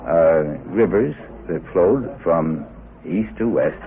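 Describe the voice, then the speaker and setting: A man answering interview questions as an expert, in an emphatic, deliberate manner. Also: low-pitched, expressive. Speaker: interviewed researcher with a doctorate. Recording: muffled lo-fi tape, busy crowd behind.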